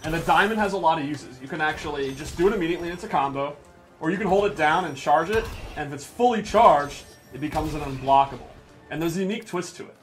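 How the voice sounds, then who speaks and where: Higher-pitched man presenting gameplay. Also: lively intonation, fast, soft background music. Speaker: presenter of a game character breakdown. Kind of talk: casual gameplay commentary.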